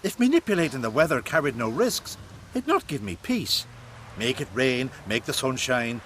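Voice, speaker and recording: A man's voice: mid-range voice, big pitch swings, a bit bright, a little hiss or hum. An actor playing an earnest, philosophical male druid in a fantasy video game, speaking in formal, old-fashioned phrases. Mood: reflective. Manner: formal, measured, archaic phrasing.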